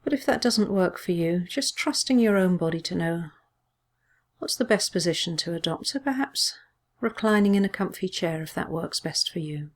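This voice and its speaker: Female meditation teacher, slightly low voice, gentle measured delivery for a soothing guided body scan. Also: animated.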